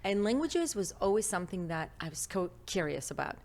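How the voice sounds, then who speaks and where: Lower-voiced Israeli woman expert. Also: big pitch swings, a little fast, a little mellow. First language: Hebrew. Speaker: relationship expert guest. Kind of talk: interview conversation. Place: podcast studio.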